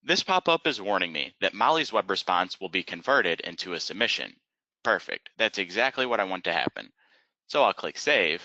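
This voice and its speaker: Low-pitched man, very bright forceful tone, lively intonation, methodical webinar trainer, slightly muffled recording. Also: normal speed, no room sound. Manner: methodical, step-by-step explaining. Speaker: software trainer. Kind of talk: instructional webinar narration.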